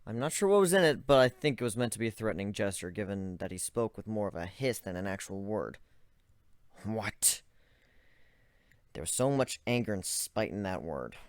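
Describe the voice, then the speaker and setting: A man narrating an amateur dramatic reading of a story in plain, steady storytelling. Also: on the low side, quick pace, few pauses, smooth, no room sound.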